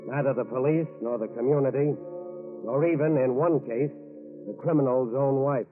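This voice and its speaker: A man's voice: mid-range voice, moderate pace. A man narrating a crime drama in dramatic, scripted 1940s radio style, on an old tinny recording. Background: music.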